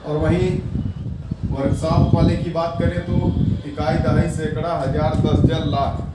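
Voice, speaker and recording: A man's voice: on the high side, level tone, a little slow. A man explaining exam application numbers and competition to students, repeating figures and reassuring them. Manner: reads out numbers digit by digit, reassuring and repetitive. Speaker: exam coaching YouTuber. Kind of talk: explaining exam form statistics. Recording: echoey distant mic with loud noise.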